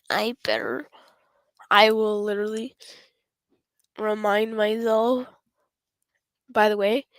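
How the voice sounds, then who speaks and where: Hoarse female streamer, bright and lively but unhurried, rambling and apologetic. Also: a little high, dry recording, poor audio. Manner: rambling, apologetic, self-interrupting. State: hoarse, voice going raspy. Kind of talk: casual gameplay stream chat.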